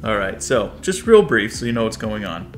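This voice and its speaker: Lively American man explaining clearly. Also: on the low side, normal speed, soft background music. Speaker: video host, flying instructor. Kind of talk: presenter explaining to camera, casual coaching. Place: furnished living room, indoors.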